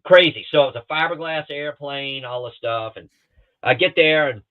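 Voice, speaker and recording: A man telling rambling, animated pilot stories on a talk show, with many restarts and acted-out quotes, heard over a remote call. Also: fairly high pitch, moderate pace. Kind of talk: casual storytelling on a talk show. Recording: tinny phone-like line.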